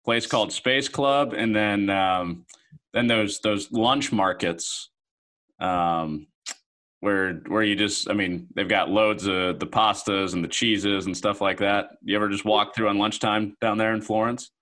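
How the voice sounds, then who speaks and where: A man's voice: fairly low pitch, fairly even intonation, measured pace, a bit bright. A man interviewing a guest, asking chatty questions and repeating words as he goes.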